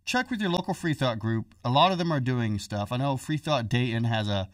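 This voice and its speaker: A man's voice: medium pitch, lively intonation, brisk, no room sound, clean studio sound, far from the mic. A man hosting a podcast in casual chat, joking and telling a story in a chatty way. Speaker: podcast host.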